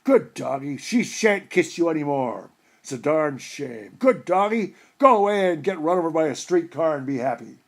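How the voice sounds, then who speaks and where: A man's voice: fairly high pitch, expressive, moderate pace, vocal fry. A man playing a henpecked, tipsy old dog owner in a storybook, with folksy, slangy talk. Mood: rueful.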